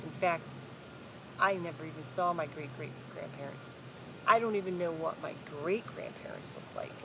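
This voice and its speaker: A woman's voice: on the low side, background noise. An older woman, a grandmother, casually telling family history to a child in short, simple, repetitive phrases. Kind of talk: casual storytelling addressed to a child.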